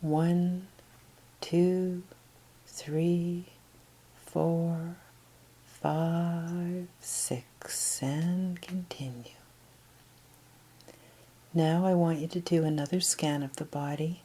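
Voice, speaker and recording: Slow, low, mellow woman leading meditation. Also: steady tone. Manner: slow, gentle, unhurried counting and pauses. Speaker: guided meditation leader. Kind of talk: guided meditation, soothing instruction.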